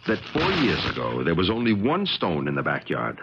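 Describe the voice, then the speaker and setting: Deep, blunt, suspicious man. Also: wildly swooping pitch, moderate pace, a bit bright, exaggerated voice. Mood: grave, urgent. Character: gruff, resolute man, suspicious and protective. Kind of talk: 1970s radio drama acting. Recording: dull crackly 1970s radio recording.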